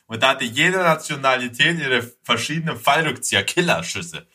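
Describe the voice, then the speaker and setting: A man's voice: mid-range voice, animated, moderate pace, sharp tone. A man in a casual podcast chat, talking emphatically and with plenty of slang. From Bavaria, Germany. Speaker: podcast speaker.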